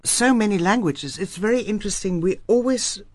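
A woman's voice: mid-range voice, brisk, no echo. A South African woman, an Afrikaans writer, speaking firmly and emphatically as an interview guest. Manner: emphatic, lively, firm opinions. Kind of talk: radio interview.

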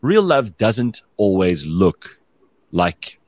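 A man's voice: fairly low pitch, very animated, extremely slow, a little mellow, dry recording. A man hosting a talk show, giving blunt opinions and putting questions in a conversational way.

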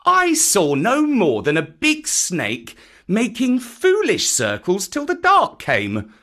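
A narrator as a high-voiced bold jungle boy. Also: wildly swooping pitch, slow pace, bright, forceful tone, full character voice. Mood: puzzled, scornful. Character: young jungle boy, innocent, bold and scornful.

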